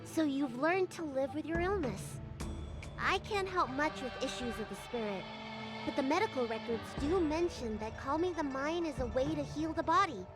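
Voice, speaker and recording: Young girl healer, game role: high, animated, earnest and professional. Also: moderate pace. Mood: sympathetic. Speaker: doctor. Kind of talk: English video game voice acting. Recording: game music behind the voice.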